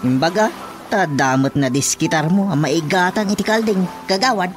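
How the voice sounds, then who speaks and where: A man's voice: sits high, moderate pace, natural voice. Guy acting agreeable ilocano village watchman, comic radio drama, bit of music behind. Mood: agreeable, chatty.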